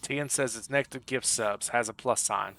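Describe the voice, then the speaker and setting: Male game streamer: bright, crisp-edged tone, loose joking banter with chat, slight background noise. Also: medium pitch, moderate pace. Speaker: video game live streamer. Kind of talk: casual chat with stream viewers while gaming. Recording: clearly audible background noise.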